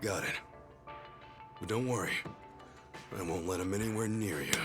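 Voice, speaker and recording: An actor playing a hot-blooded, earnest, good-hearted video game hero with a blunt, expressive delivery. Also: male voice, deep voice, moderate pace, music in the background, natural voice. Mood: reassuring. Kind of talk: cinematic video game acting.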